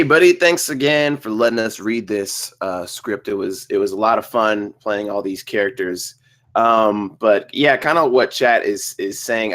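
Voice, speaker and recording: Casting: male table-read player, moderately low pitch, casual conversational feedback. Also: a bit bright. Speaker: voice performer in a script table read.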